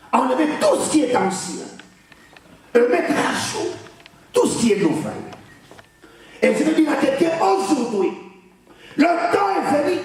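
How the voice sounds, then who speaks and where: A man preaching a church sermon in French with a Portuguese accent, exhorting the congregation and bursting into shouted emphasis. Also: very high voice, a little hiss or hum. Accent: Portuguese-speaker's French. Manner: exhorting, urging the congregation to answer louder. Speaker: guest preacher. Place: church service. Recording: very echoey church hall, mic at a distance.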